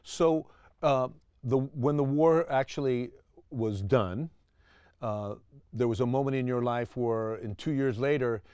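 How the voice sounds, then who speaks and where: A man's voice: medium pitch, unhurried, darkish tone, smooth, no room sound, highs cut off. A man hosting an interview show, greeting his guest with enthusiastic praise and asking questions with frequent murmurs of agreement. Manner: enthusiastic, effusive, backchannels often.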